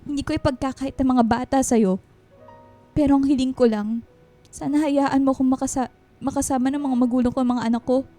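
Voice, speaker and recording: Lady acting as filipino wife in radio drama, high voice, firm but caring, music behind. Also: steady tone, a little fast, dark tone, natural voice. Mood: firm, apologetic. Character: estranged wife and mother, firm yet concerned. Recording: music under the voice.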